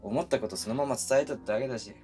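A man's voice: mid-range voice, very fast, mellow, faint music behind, natural voice. A man playing a pushy, flirtatious younger coworker with puppyish eagerness, speaking casually and intimately to the listener in a romantic audio drama. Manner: casual junior-to-senior speech, teasing and coaxing. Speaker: voice actor in a romantic situation voice drama. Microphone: close binaural studio microphone.

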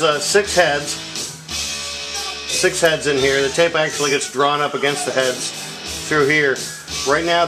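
A man's voice: on the high side, rough recording. Middle-aged male, off-the-cuff gear demonstrator, modest and meandering, recorded indoors with music in the background. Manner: rambling, self-deprecating. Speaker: tape deck seller demonstrating equipment. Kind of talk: casual, unscripted product demonstration. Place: indoor room at home. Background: music from record and tape playback.

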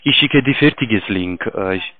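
A man with a foreign accent, not a native German speaker, giving halting phone instructions in broken German as a call-centre agent. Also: on the low side, expressive. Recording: narrow, tinny phone line.

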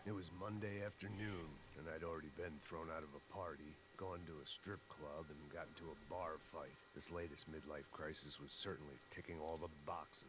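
An actor playing a jaded, middle-aged action game antihero, narrating in a deadpan, sardonic hardboiled style. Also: male voice, very deep voice, fairly quick, hardly any pauses. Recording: game ambience noise under the voice.

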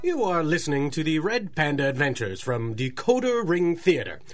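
An actor playing a petulant, needy little radio-show underling, whiny and pleading, in comic old-time radio style. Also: male voice, a little high, big pitch swings, moderate pace, over loud music. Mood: eager.

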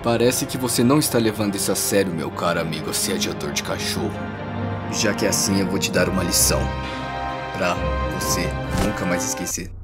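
Man voicing a low, menacing cartoon dog villain, Brazilian. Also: fairly quick, natural voice. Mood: menacing, taunting. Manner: theatrical, foul-mouthed, taunting. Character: menacing, vengeful muscular talking dog villain, male. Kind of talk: over-the-top cartoon dub acting. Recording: loud music behind voice.

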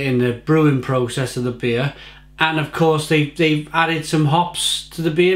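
A man's voice: a little high, reverberant. A man, casually reviewing a beer at home and often repeating his words. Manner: chatty, repeats words, gestures with hands. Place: home kitchen, indoors. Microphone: fixed camera at desk.

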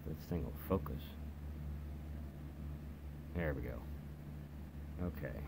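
Very deep-voiced man, noisy recording. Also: slow pace. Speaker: hobbyist radio reviewer. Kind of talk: casual product demonstration. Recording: noisy home video recording. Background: clear background noise.

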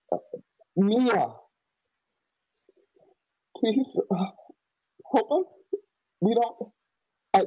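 A man's voice: high-pitched, dark tone, slightly rough, no echo, full character voice. A young man playing an earnest, frightened frontier youth in a radio western, stammering and hesitant in rustic country speech. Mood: scared, pleading. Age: young adult. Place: home room on a video call. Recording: dull video-call audio.

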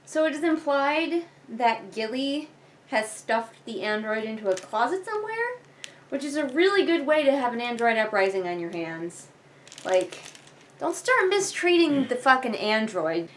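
Youngish woman ranting casually, kinda high voice, sarcastic, bit noisy room recording. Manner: sarcastic, rambling, swears casually. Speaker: book reviewer reading along. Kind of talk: casual critical commentary. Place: living room couch, indoors. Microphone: camera a few feet away. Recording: noisy living room camera audio.

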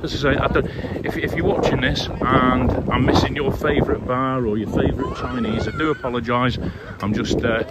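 A man's voice: mid-range voice, huge pitch swings, moderate pace, hardly any pauses. Chatty man vlogging on windy promenade. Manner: chatty, upbeat, addresses viewers directly. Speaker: travel vlogger. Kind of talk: casual walking vlog narration. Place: outdoors on a seaside promenade. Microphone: handheld phone camera. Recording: loud wind and traffic noise outdoors.

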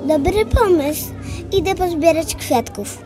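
A woman's voice: extremely high pitch, animated, a little slow, over music. A young female performer playing a sweet, trusting little girl in a fairy-tale audio drama. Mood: cheerful, trusting.

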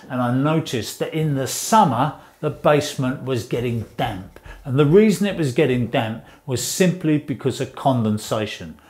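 A man in his fifties presents practical building advice in an informal, explanatory way. His voice sits at an average pitch, and he leans on key words by repeating them. He talks as if teaching at a whiteboard in a room. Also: a little mellow. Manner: conversational, explanatory, emphatic repetition. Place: small indoor room, in front of a whiteboard.

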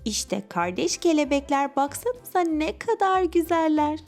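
A woman's voice: high voice, very animated, unhurried, darkish tone, soft background music. A woman narrating a scripted children's story expressively, speaking directly to young listeners.

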